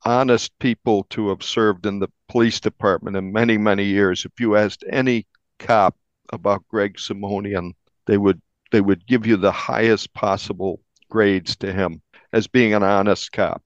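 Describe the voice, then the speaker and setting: A man speaking as an interviewed newspaper reporter, explaining a crime story in a measured, halting way with repeated phrases. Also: fairly low pitch, steady tone, no echo, dull audio.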